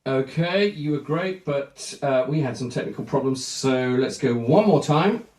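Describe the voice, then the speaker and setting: An actor playing a studio producer who gives polite, hesitant direction in a radio drama, heard over a roomy talkback. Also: male voice, mid-range voice, lively intonation, normal speed, a little mellow, natural voice.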